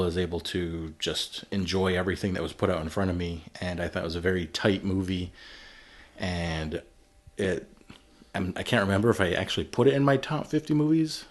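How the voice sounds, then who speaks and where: A man with a very low, deep voice hosts a casual film-discussion podcast. He talks in a relaxed, loose way and thinks out loud with fillers as he goes. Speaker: podcast host.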